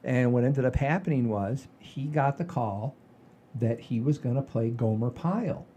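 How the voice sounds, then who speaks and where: An older man hosting a podcast, telling stories in a folksy, rambling, animated way with a husky voice. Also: medium pitch, moderate pace, slight background noise. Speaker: podcast host. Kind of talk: casual chat and storytelling.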